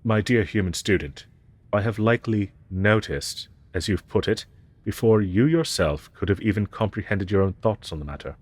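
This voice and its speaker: Man acting as a pompous deep-voiced ancient dragon professor. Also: animated. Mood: condescending. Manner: pompous, archaic, formal diction; disdainful and deliberate. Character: haughty ancient male dragon professor, condescending to humans. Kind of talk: roleplay audio drama, scripted monologue.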